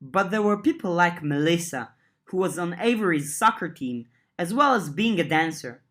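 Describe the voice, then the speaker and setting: A woman narrating an audiobook of a fiction serial, lifting her voice for dialogue and settling lower for narration. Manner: reads dialogue with lifted pitch, narration lower and softer. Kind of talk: audiobook reading of a web serial.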